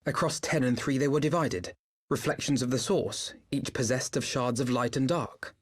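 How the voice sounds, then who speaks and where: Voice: medium pitch, moderate pace, lightly acted. An actor playing an earnest, defiant young hero in a video game cutscene, formal and impassioned.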